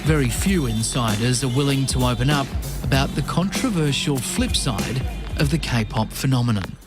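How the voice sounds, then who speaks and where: A man narrating a news feature in a measured, scripted way. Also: medium pitch, lively intonation, over loud music. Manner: measured, formal delivery.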